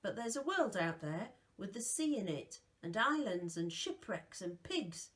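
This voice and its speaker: A woman reading aloud voicing an earnest young boy raised in a graveyard, talking eagerly and quickly in a raised voice. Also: medium pitch, sing-song.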